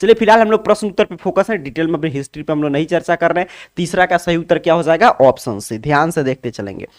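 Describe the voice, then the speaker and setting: Higher-pitched man teaching. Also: expressive, fast talker. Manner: instructional, repeats key phrases and addresses students directly. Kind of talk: exam solution lesson, asking then answering questions.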